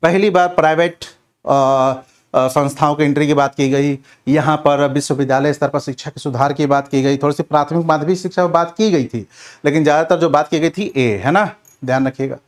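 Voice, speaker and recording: A man's voice: a little high, steady tone, fairly quick. A man giving a question-and-answer revision lecture as an exam coaching teacher, instructive and often repeating check phrases.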